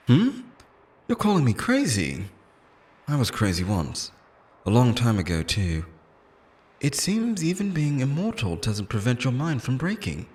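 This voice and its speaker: A man's voice: mid-range voice, darkish tone. A man playing an obsessive, lonely immortal lover in an intimate, theatrical roleplay monologue, pleading and possessive, moving between tenderness and menace. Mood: possessive, unhinged.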